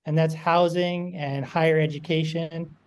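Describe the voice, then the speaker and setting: A man being interviewed as an author, explaining things in a measured way. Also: fairly high pitch, flat delivery, smooth. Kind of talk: interview answers.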